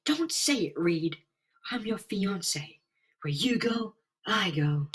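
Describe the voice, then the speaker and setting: A man's voice: high voice, big pitch swings, takes it slowly, a bit bright, distant mic, a little hiss or hum, pitched above the performer's own voice, heavily acted. A man voicing a devoted, anxious young woman in a pleading, emotional comic-book reading. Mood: devoted, insistent. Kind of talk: dramatic comic-book voice acting.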